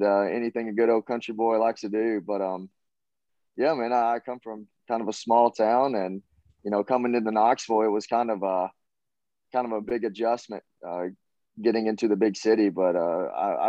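A young man in his twenties with a Southern US accent, answering interview questions in an easygoing, halting way with many fillers. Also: lowish voice, dark tone, no room sound, lo-fi sound. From Tennessee, USA. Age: 20s. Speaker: college baseball player guest.

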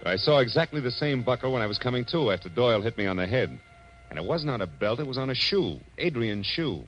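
An actor playing a tough, weary, reflective American private detective in a clipped, wry 1940s radio drama style. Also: male voice, mid-range voice, very animated, quick pace, a bit bright. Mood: matter-of-fact. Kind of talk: 1940s radio drama acting, hard-boiled first-person narration. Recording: dull vintage radio recording, music behind.

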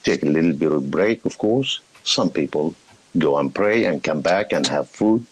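A middle-aged man from Palestine, a podcast guest, talking slowly and hesitantly with many fillers. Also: a bass voice, lively intonation, slightly rough, poor audio. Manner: slow, hesitant, many fillers, laughs often. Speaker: guest, shop owner. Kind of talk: podcast interview.

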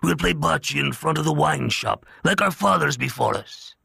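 A man voicing an aging crime boss with a slight Italian accent, measured, grave and paternal. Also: on the high side, animated, creaky, fairly bright tone, husky, dry recording, studio quality, voice pushed up, heavily acted. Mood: solemn.

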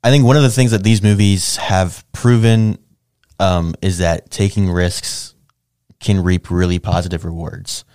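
A man's voice: deep voice, fairly even intonation, a little slow, a little mellow, clean tone, no room sound, clean studio sound. A man co-hosting a podcast in casual, conversational talk about films. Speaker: podcast co-host. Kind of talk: casual film discussion chat.

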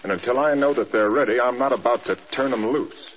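A man's voice: medium pitch, natural voice. An American man acting a stern, burdened air force general in a mid-century radio drama, with reserved military speech. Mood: weary, firm. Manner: halting, reserved, clipped military talk. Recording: dull old radio recording with noise.

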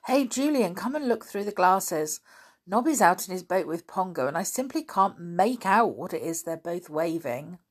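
A woman reading a storybook and voicing an eager schoolboy who speaks in a raised, excited voice. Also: takes it slowly, heavily acted. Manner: excited, high-pitched.

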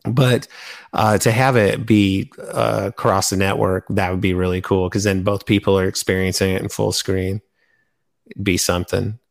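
A man's voice: sits low, flat delivery, moderate pace, gravelly. A man chatting casually about fan topics as a podcast host.

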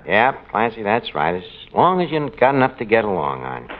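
A man's voice: fairly low pitch, big pitch swings, moderate pace. An actor playing a wisecracking middle-aged tavern manager in a 1940s radio sitcom, mangling words and chuckling at his own jokes. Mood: joking. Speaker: radio comedy lead. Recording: hissy old lo-fi radio recording.

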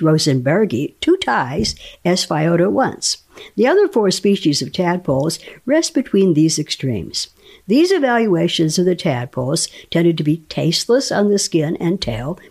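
A woman doing a scripted reading of a scientific paper in a formal, deliberate way. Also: lowish voice, a little mellow, slightly rough. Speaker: dramatic reader of research papers.

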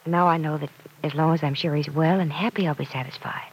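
Hesitant young radio-serial heroine. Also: female voice, sits low, fairly even intonation, brisk, breathy edge, low-quality recording. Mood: earnest, tender. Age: young adult. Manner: hesitant, soft-hearted, confiding. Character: earnest, self-doubting young woman torn over love. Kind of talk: old-time radio serial acting.

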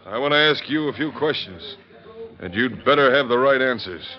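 A man's voice: mid-range voice, expressive, normal speed, over music, close to an everyday voice. A man playing a blustering, accusing ranch foreman with a folksy western twang in a vintage radio drama. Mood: accusing, bossy. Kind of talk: old-time radio western acting. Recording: muffled, noisy old radio recording.

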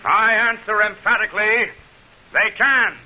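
A man's voice: sits high, a little slow, bright, forceful tone, heavily acted. Through a noisy, hissing old radio recording comes a confident male senator of the 1800s. He speaks formally and emphatically, a seasoned political orator in a classic radio drama. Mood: emphatic, defiant. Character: confident 19th-century American senator, forceful debater and orator.

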